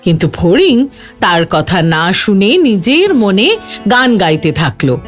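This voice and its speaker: A woman narrates a children's moral tale in an animated voice that rises and falls freely. Her pitch sits in a middle range, and gentle music runs behind the scripted storytelling. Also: unhurried.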